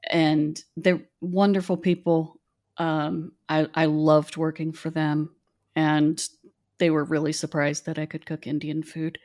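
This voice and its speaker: Chatty lower-voiced woman from West Virginia. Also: level tone, measured pace, a little mellow. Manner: chatty, meandering, self-deprecating. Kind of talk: casual storytelling chat.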